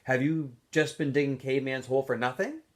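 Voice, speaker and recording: A man's voice: medium pitch, fairly even intonation, a little fast, pitched above the performer's own voice, lightly acted. A man voicing a cold, sarcastic woman warden in a storybook reading. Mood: testing, condescending. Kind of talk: storybook character voice.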